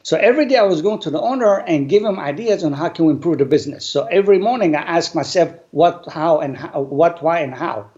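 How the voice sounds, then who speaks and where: A man, an English speaker, telling his life story earnestly and deliberately as an interview guest. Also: a little high, a little mellow. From Lebanon. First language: not English. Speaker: guest, business owner. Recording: dull lo-fi sound.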